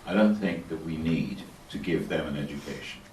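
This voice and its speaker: Deep-voiced man in a roomy hall. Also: darkish tone, a little hiss or hum. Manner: measured, explanatory, tells personal anecdotes. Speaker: speaker answering audience questions. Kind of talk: panel discussion answer. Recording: roomy, distant hall recording.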